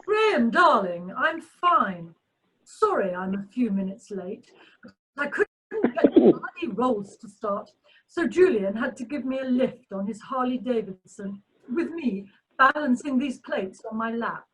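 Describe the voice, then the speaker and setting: Woman doing a gushy glam society lady, very animated, some noise on the line. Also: fairly high pitch, unhurried. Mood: breezy. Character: glamorous, gushing, breezy society woman. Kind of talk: amateur radio play acting. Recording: clearly noisy video call audio.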